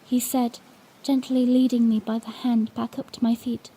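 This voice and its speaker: A woman's voice: a little high, level tone, normal speed, warm tone, husky, rough recording. A woman playing a bashful young princess, telling her own story tenderly and earnestly in a fan-made audio drama. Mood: bashful, recounting.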